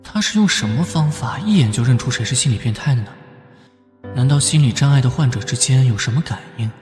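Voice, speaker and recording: A man playing an earnest young police detective in an animated drama, serious and brooding. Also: mid-range voice, brisk, soft background music, lightly acted. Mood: pensive.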